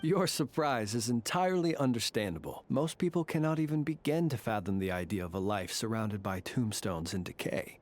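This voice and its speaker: A man voicing a courteous, eccentric graveyard warden in a video game, speaking in a formal, measured, genteel way. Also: on the low side, lively intonation, a little hiss or hum, natural voice. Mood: calm, grave. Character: courteous, eccentric gentleman graveyard warden, calm and faintly eerie. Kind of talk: video game character dialogue.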